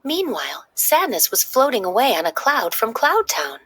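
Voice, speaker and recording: A woman narrating a children's story in an expressive, dramatic read-aloud style. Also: high-pitched, moderate pace, husky.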